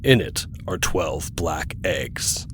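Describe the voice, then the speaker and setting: A man's voice: rumbling low, animated, slow pace, fairly bright tone, a little hiss or hum. A man reading scripted narration for an audio drama. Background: music.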